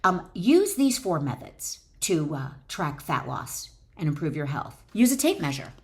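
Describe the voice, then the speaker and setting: A woman's voice: deep voice, sing-song, measured pace, darkish tone. A woman reading her own wellness book aloud in an emphatic, instructional way, often breaking off into chatty asides. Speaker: author and wellness educator.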